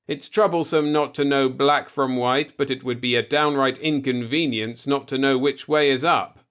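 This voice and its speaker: A man's voice: mid-range voice, fairly bright tone, clear, resonant tone, voice pushed up, close to an everyday voice. A man voicing a cautious spaceman who sounds worried and deferential toward his captain, in a sci-fi audiobook.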